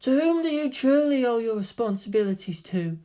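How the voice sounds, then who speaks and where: A stern and wise alien seer acted by a narrator in a fantasy story. The voice sits high, speaking in lofty, formal, archaic phrases. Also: lively intonation, normal speed, mellow, lightly acted. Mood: lofty reproach.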